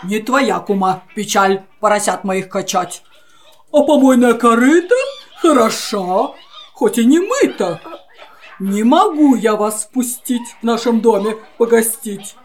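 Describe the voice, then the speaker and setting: Swooping, high-voiced mother sow. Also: animated, unhurried. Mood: dismissive. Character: bossy self-satisfied mother sow. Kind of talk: children's audio play acting.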